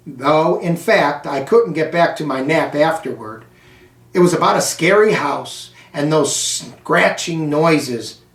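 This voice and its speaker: An American man reads a children's storybook aloud, phrase by deliberate phrase. His voice sits a little high for a man and moves very slowly. He sounds some way from the microphone in an echoey room. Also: far from the mic. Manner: slow, deliberate, phrase-by-phrase delivery. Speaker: school superintendent reading aloud.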